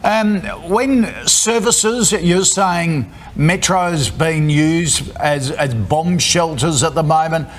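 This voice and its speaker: A man anchoring a live news broadcast, asking brisk, direct questions of remote guests. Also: on the high side. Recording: faint background noise.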